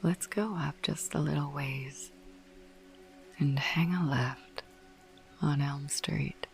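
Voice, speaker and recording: A woman narrating a bedtime ASMR podcast in a hushed, breathy whisper, soothing and unhurried. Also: low-pitched, fairly even intonation, faint music behind. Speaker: host of a sleep podcast.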